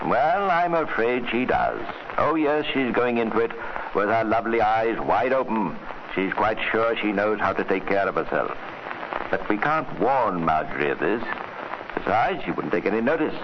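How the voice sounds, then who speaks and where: Wry, low-voiced radio storyteller. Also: male voice, lively intonation, normal speed. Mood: confiding, knowing. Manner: wry, confiding, relishing. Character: wry, knowing male storyteller addressing the listener. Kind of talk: dramatic scripted radio narration. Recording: muffled, noisy old radio recording.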